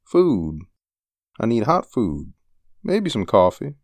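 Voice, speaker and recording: A woman voicing a tired, hungry drifter man, a vague but proud handyman who talks in low, halting short phrases. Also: sing-song, darkish tone, dry recording, exaggerated voice. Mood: bargaining.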